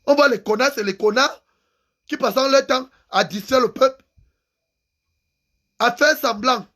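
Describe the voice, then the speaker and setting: Male activist, unusually high-pitched, angry and insistent. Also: measured pace, sharp tone. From Cameroon. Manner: angry, insulting, repeats phrases for emphasis. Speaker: activist vlogger. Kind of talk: impassioned political rant.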